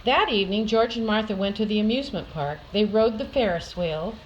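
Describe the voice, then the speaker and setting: Expressive woman reading stories, slight background noise. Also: medium pitch, a little fast, dull audio. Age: older. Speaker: storyteller reading a picture book aloud. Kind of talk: expressive children's story read-aloud.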